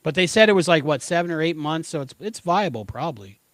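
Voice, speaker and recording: A man with a somewhat high-pitched voice co-hosts a podcast. His delivery is casual and conversational. The sound is very dry, with no room echo. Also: animated, fast, a bit bright. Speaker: podcast co-host. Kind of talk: casual chat, episode discussion.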